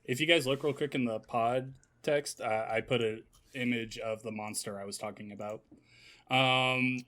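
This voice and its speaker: A man's voice: mid-range voice, steady tone, normal speed, pushed and bright. A man with a mid-range voice speaks as a podcast co-host. He talks casually and conversationally, as in an informal group discussion.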